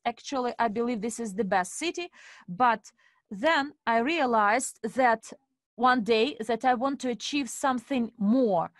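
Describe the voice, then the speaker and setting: A woman's voice: a little high, no echo, studio quality. Woman hosting a webinar. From Estonia. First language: Russian. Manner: formal, addresses audience as dear friends. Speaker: webinar host. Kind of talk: live online webinar presentation.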